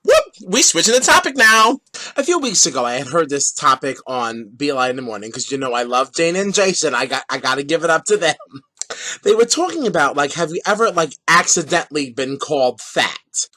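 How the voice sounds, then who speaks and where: A man hosting a casual vlog, talking in a sassy, giggly, animated way while he has a cold. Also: a little high, a little fast. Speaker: vlog host.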